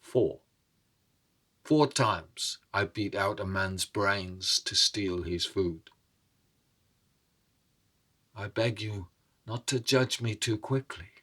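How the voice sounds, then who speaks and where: A man voicing an unrepentant old male thief in a storytelling performance, deliberate and pleading as he addresses a king. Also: on the low side, bright, forceful tone, exaggerated voice. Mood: pleading, grim. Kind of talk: dramatic oral storytelling.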